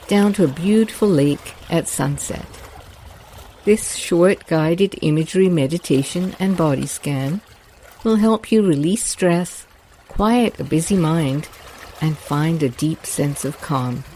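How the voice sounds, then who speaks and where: A woman leads a guided meditation in a soft, mellow voice, taking her time over every phrase. Her delivery is slow and soothing, with gentle water sounds faintly behind her. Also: low-pitched, a little hiss or hum, rough recording.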